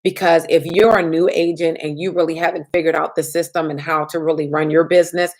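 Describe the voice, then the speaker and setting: A woman insurance trainer answers viewer questions in a chatty live stream. Her voice sits a little low and has a slight rasp from lack of sleep. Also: flat delivery, fairly quick, darkish tone.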